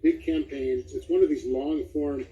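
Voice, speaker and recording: A man's voice: a little high, fairly even intonation, moderate pace, dark tone. A middle-aged man chatting casually as an interview guest, with a self-deprecating manner. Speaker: guest voice actor. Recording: dull, lo-fi audio.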